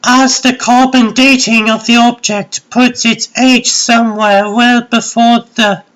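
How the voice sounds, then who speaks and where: A clever young woman of science , acted for a comic dub. She has a higher voice and speaks slowly, with earnest, formal precision and full composure. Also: flat delivery, a bit bright, poor audio. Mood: earnest, insistent.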